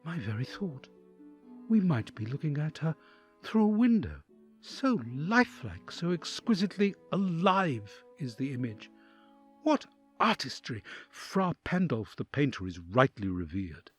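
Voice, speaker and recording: A man's voice: fairly high pitch, big pitch swings, measured pace, slightly rough, soft background music, heavily acted. A man playing an awed, anxious envoy who narrates a dramatic audio drama in the first person. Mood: wondering.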